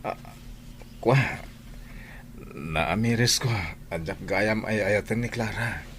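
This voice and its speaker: An actor playing a troubled young husband in an audio drama, emotional and dramatic, with an Ilocano accent. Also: male voice, on the low side, slow, fairly bright tone, slight background noise. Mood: hesitant, guilty. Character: troubled young husband, unfaithful in his heart. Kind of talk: Filipino audio drama acting.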